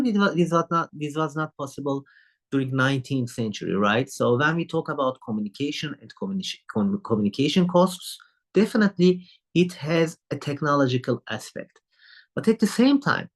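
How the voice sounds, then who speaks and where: A man from Turkey with a Turkish accent, English not his first language, giving a recorded university lecture over a video call, often checking in with "right?" and pausing on "um". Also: fairly high pitch. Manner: frequent tag question "right?" and filler "um". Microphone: computer mic on a video call.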